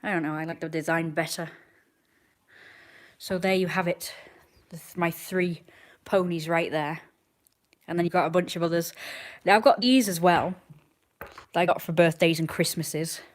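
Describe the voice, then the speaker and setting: A woman in her twenties chats on a live stream in a low-pitched voice. She rambles from one thought to the next and answers viewers' comments out loud. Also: fairly even intonation, normal speed. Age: 20s.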